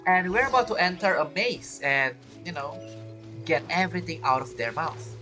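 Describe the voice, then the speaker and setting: Animated, higher-pitched man doing game commentary. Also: moderate pace. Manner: reads game text aloud, repeats himself. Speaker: gaming streamer. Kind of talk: casual let's-play commentary. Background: game music.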